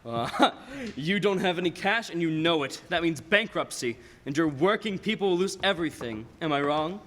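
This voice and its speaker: A young man acting a smug, scheming banker villain with a high, very animated voice. He is condescending and falsely helpful in radio play style, with the reverb of a large hall around him. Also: moderate pace. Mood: smug, menacing. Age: teens or young adult. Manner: smooth, condescending, theatrical.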